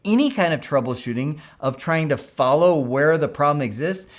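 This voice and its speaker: A man's voice: a little high, animated, normal speed. A man instructing in an informal, conversational technical explainer style, often checking in with the listener as he goes. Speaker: instructor explaining school PA systems.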